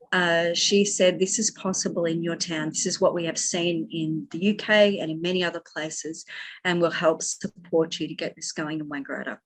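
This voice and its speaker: A woman minister with an Australian accent and a scratchy voice, telling a passionate, earnest story at an online church workshop, with many ums. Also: fairly low pitch. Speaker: minister and chaplain.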